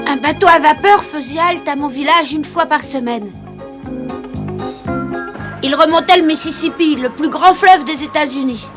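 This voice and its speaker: A woman voicing an excitable, mischievous young boy in a French cartoon dub, eager and exclamatory. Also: high voice, normal speed, pauses often, lightly acted. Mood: nostalgic narrating. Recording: music under the voice.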